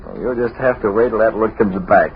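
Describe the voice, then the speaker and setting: An actor playing a cool, shrewd newspaper boss in a wry, self-possessed way, in 1930s radio drama style. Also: male voice, lowish voice, brisk, lightly acted. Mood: wry, evasive. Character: cool, shrewd newspaper boss keeping his own counsel. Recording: dull, faintly noisy old radio recording.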